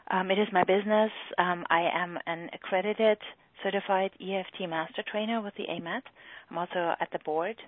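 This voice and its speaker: A middle-aged woman with a German accent, speaking earnestly on a recorded group call and often pausing with fillers. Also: fairly low pitch, fairly even intonation, no room sound. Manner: earnest, halting with many fillers. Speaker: EFT practitioner and trainer. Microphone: phone or call line. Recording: tinny phone-line call recording.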